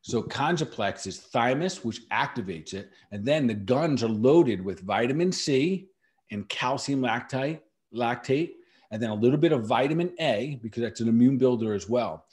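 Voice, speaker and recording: A man's voice: mid-range voice, animated, moderate pace, darkish tone. Emphatic man explaining natural remedies. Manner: emphatic, repeats key words for stress. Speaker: natural medicine practitioner and podcast host. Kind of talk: casual podcast explanation.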